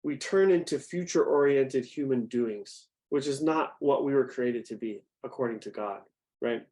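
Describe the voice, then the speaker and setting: Young male youth director, mid pitch, chatty lecturer who quizzes his audience. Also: normal speed, darkish tone. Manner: conversational, asks the audience questions. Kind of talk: interactive talk to a group.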